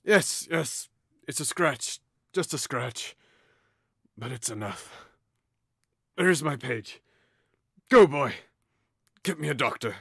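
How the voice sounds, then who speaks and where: Guy acting a cocky hothead young noble, taunting and theatrical, very animated pitch, dry studio sound. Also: slow pace, creaky, long pauses, breathy edge, no room sound, full character voice. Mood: wounded, bitter. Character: hot-headed, mocking young nobleman itching for a sword fight. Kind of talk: audio drama acting.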